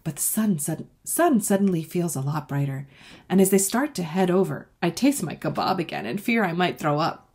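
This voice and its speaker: A woman's voice: fairly low pitch, very animated, normal speed, a little mellow, slightly rough. A woman author reading a children's story aloud expressively and speaking directly to young listeners. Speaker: author reading their own book.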